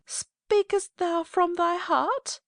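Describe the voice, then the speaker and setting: Woman acting very high-pitched, anguished teenage girl. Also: steady tone, slow, fairly bright tone, dry recording, clean studio sound, full character voice. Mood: desperate, pleading. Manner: pleading, impassioned. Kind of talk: Shakespearean dramatic reading.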